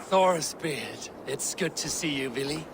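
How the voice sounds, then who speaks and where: An actor playing a steady, loyal Viking warrior and trusted friend in a video game, measured and earnest. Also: male voice, on the high side, heavy background noise. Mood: warm, teasing. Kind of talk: dramatic video game acting.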